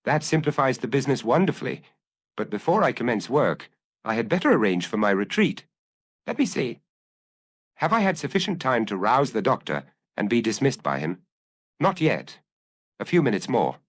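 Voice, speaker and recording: A man voicing a suave, self-assured gentleman burglar musing to himself, urbane and drily amused, in a storybook reading. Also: medium pitch, sing-song, normal speed. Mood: calculating.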